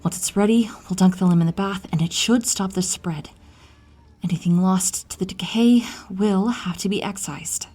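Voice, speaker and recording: A woman acts out an ageless moon spirit who works as a healer in a fantasy audio drama. She is motherly and brisk, caring yet quick to scold. Her voice is mellow and very breathy, often hushed. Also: medium pitch, natural voice. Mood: urgent, scolding. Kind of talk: fantasy audio roleplay acting.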